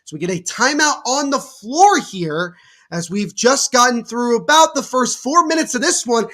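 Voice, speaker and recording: A young man from New Jersey with a stuffy cold, giving excitable play-by-play commentary on a livestream and talking to his chat. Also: very high voice, normal speed. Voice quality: nasal. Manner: excitable, bursts louder on big plays. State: congested from a cold.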